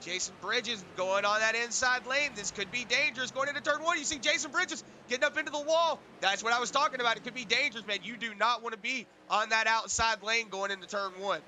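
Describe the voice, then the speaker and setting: A high, very bright, tense male voice, a bit hoarse and raspy from lingering sinus trouble, calling laps in a loose, rambling play-by-play style full of "uh"s. There is a faint hiss of noise behind him. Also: a little fast, hardly any pauses. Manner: relaxed, rambling play-by-play with fillers. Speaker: race commentator. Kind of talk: play-by-play sim racing commentary.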